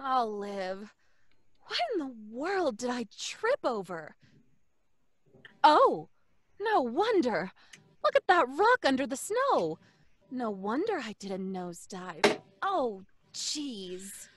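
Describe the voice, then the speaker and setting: Brash young woman, high-pitched, unhurried pace, slangy, high-spirited, old-time radio drama. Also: very animated, a little hiss or hum. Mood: rueful, grumbling. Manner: breezy, slangy, theatrical.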